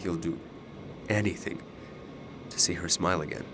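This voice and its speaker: An actor in a radio drama playing a mysterious older alternate self, fatalistic and persuasive, speaking in a deliberate, ominous way. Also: male voice, low-pitched, noisy background. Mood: grave, foreboding.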